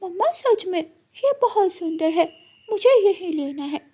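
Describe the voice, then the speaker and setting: A little bird child for a children's cartoon , put on by a woman. The voice is squeaky and far up in pitch, childlike and eager, with a pleading tone. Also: lively intonation, a little slow, mellow.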